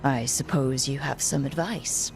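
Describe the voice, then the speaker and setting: An actress playing a resolute young leader in a video game, firm, earnest and direct. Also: female voice, very deep voice, measured pace, over music. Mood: determined. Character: resolute young heroine, steady team leader.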